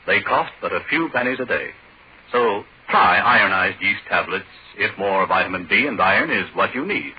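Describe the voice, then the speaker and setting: A man's voice: on the low side, expressive, moderate pace. A man delivering scripted announcements and narration in 1940s radio style. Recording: muffled, hissy 1940s radio recording.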